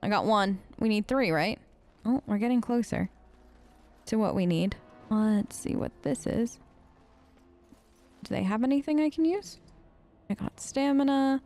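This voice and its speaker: A woman's voice: fairly high pitch, a little slow, pauses often, mellow, faint music behind. A woman hosting a role-play let's play, chatty and playful, talking close to the microphone. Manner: playful, bantering. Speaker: gaming video host.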